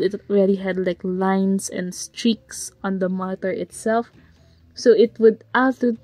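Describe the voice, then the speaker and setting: A slightly hoarse woman casually narrating her digital painting process, rambling with fillers and self-corrections. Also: medium pitch, darkish tone, no echo. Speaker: digital artist narrating a speedpaint. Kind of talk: casual voiceover commentary. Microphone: home voiceover mic.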